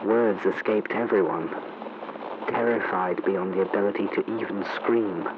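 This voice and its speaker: A man's voice: low voice, close to an everyday voice. An actor playing a grave, shaken male war reporter giving a solemn, formal eyewitness account in a 1930s radio broadcast style. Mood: awed, haunted. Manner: solemn, deliberate, formal narration. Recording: noisy, muffled vintage radio recording.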